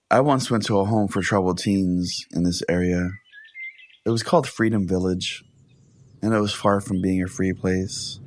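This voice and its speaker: A man's voice: deep voice, background noise. A man narrating a scripted first-person comic story as a podcast host. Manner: profane. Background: guitar music.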